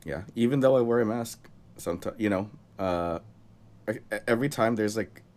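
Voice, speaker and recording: A man's voice: fairly low pitch, brisk, darkish tone. A young man co-hosting a casual podcast, talking through a cold in a rambling way and chuckling often. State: has a cold. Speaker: podcast co-host.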